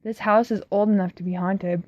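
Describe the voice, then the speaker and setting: A woman's voice: medium pitch, steady tone, brisk, dry recording, close to an everyday voice. A woman reading the part of a serious, curious little boy, on a slightly dull, dry recording. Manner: serious, wondering.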